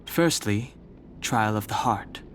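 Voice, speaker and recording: Deadpan, serious young guard. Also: male voice, lowish voice, takes it slowly, slight background noise. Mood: matter-of-fact. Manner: deadpan, matter-of-fact. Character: reserved, serious young male guard giving calm instructions. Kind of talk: video game voice acting.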